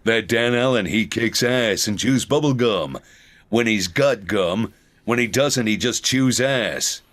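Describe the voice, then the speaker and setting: An actor playing a cocky, crude, swaggering macho action hero from a video game. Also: male voice, on the low side, measured pace, a bit bright. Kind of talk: video game catchphrases performed for a fan.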